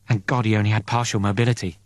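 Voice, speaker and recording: A man's voice: on the low side, steady tone, fast talker, no echo, close to an everyday voice. Audiobook role: male reader as a shaken, defensive woman of a starship crew, little vocal disguise, dry sound. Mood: defensive, grim. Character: defensive, shaken female spaceship crew member. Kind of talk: audiobook character voice.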